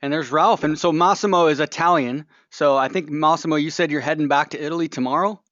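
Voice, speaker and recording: A man hosting a webinar in a casual, unscripted way, speaking haltingly and often breaking off his sentences. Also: on the high side, fairly bright tone, no room sound. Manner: hesitant, halting delivery with broken-off sentences. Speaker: webinar host. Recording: dull, lo-fi webinar audio.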